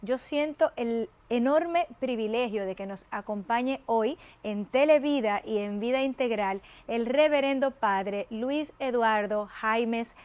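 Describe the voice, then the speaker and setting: A woman's voice: fairly high pitch, takes it slowly, hardly any pauses. Effusive woman hosting faith show. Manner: effusive, reverent, lingering on pious phrases. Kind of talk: warm devotional interview.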